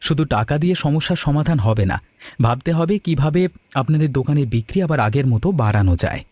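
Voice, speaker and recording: A man voicing a kind, generous gentleman customer in a cartoon story, speaking earnestly and with feeling. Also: fairly high pitch, fast talker, no room sound, lightly acted. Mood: reassuring. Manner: expressive, earnest, caring. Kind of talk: animated cartoon story dialogue.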